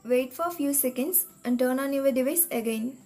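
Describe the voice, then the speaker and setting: A woman reading a scripted step-by-step tech tutorial in a measured, instructional manner. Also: high voice, mellow. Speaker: tutorial narrator. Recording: voice-over with music behind. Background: background music.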